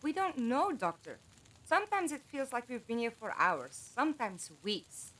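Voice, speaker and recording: A woman playing a calm, trusting captive in an audio drama, speaking politely and reasonably. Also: a little high, moderate pace, a little hiss or hum, rough recording. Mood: earnest. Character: calm, curious, trusting female captive with lost memory. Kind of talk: fan audio drama acting.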